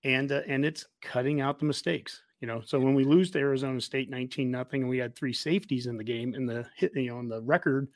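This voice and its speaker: A man's voice: mid-range voice, steady tone, fast talker, few pauses, dry recording. A man in casual sports chat, making his points emphatically and anecdotally as a fan show host.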